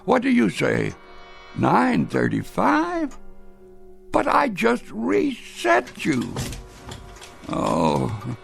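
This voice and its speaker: An actor playing an anxious, stubborn old grandfather in a radio drama, stammering and muttering in a gravelly voice. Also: mid-range voice, fairly bright tone, heavily acted. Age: older. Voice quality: gravelly, creaky. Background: music.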